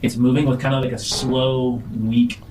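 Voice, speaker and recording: A man acting as game master, narrating a tabletop roleplaying game in a halting, improvised way. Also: medium pitch, level tone, background noise. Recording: music under the voice.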